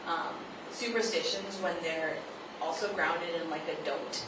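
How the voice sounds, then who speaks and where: Distant, echoey woman author, thoughtful and halting. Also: on the low side. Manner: thoughtful, halting, hedging with frequent "like". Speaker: guest author at a book talk. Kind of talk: unscripted audience question-and-answer talk. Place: live event venue, picked up from afar. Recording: distant, very echoey, noisy live event recording.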